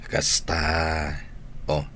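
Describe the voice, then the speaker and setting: A man's voice: a bass voice, monotone, extremely slow, fairly bright tone, slight background noise, exaggerated voice. An actor with a Filipino Ilocano accent playing a friendly, informal older man putting a youth at ease, in radio drama style. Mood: friendly, easygoing.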